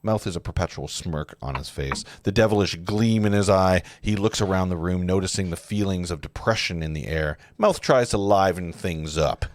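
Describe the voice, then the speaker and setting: A man narrating a film script at a live table read, halting and often correcting himself. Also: deep voice, dry recording. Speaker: narrator reading stage directions.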